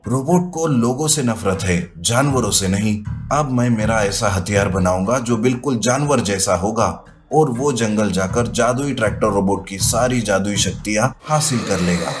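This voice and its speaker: A man voicing a scheming, boastful scientist villain in an animated children's story. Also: lowish voice, moderate pace, music in the background, natural voice. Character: gloating, scheming male mad scientist villain.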